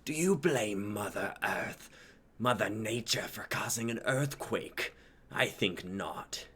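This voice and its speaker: A man voicing an ancient, vengeful dragon king in a dramatic reading, with a breathy growling whisper and grandiose speech. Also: fairly low pitch, expressive, fairly bright tone, heavily acted. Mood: ominous, grave. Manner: slow, grandiose, archaic speech.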